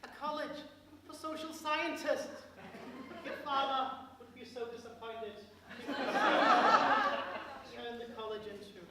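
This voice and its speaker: Pantomime tyrant lord played by a man: very high, declamatory, imperious, heard far from the mic in a noisy hall. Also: animated, a little slow, pauses often. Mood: grandiose. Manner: theatrical, declamatory, commanding. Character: pompous tyrannical college lord, ruler of his domain. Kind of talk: amateur pantomime stage acting. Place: indoor stage in a large hall.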